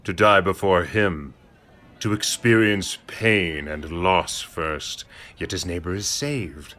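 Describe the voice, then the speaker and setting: An actor in an audio drama playing a cold, worldly, cynical middle-aged count who speaks in a measured, formal way. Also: male voice, low voice, sharp tone, full character voice. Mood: grim conviction.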